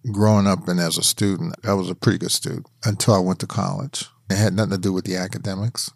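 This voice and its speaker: A middle-aged man from Pittsburgh, telling his life story in a measured, thoughtful interview. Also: sits low, no room sound, studio quality. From Pittsburgh, USA. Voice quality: gravelly. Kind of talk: reflective oral history interview.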